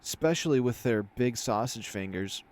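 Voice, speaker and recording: A man's voice: medium pitch, animated, moderate pace, darkish tone, lightly acted. A man voicing a blunt, knowing animal soldier in a fantasy visual novel, explaining things in an emphatic, wry way.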